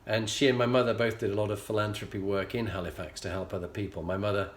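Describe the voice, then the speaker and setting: A middle-aged Yorkshireman speaks with a low voice, earnest and measured, pausing often as he tells a personal story. The recording has a noticeably echoey room sound around him. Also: little pitch movement. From England. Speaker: leadership coach and show host.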